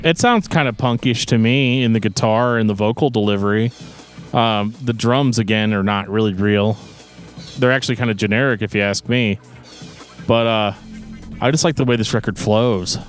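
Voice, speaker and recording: Low-voiced man chatting over music. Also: moderate pace. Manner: sarcastic, joking. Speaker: podcast co-host. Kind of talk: casual music discussion.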